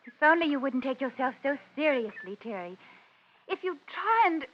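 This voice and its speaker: A woman's voice: sits high, sing-song, moderate pace. An actress playing an airy, fond but dismissive young society woman in a 1950s radio drama, light and condescending. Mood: patronizing. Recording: muffled vintage radio recording with hiss.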